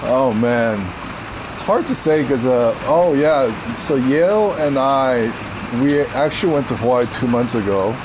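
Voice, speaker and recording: A deep-voiced man from Korea chats loosely through interview questions, full of fillers and easy laughter. His voice sits in an ordinary middle pitch. Heavy rain patters loudly behind him. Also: animated, a little slow, noisy background. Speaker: interview guest. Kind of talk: casual interview answers.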